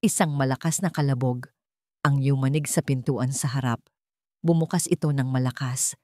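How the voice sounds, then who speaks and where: A woman's voice: a bass voice, normal speed, a little mellow, dry recording, clean studio sound. A woman with a Filipino accent reading a dramatic scripted story as an audiobook narrator.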